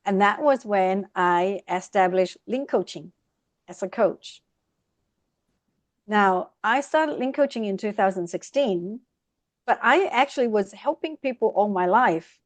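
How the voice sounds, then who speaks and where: Podcast guest: middle-aged woman, expressive storyteller, dry close sound. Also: medium pitch, dry recording. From Vietnam. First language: Vietnamese. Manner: lively, expressive, speeds up in bursts. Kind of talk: casual interview storytelling.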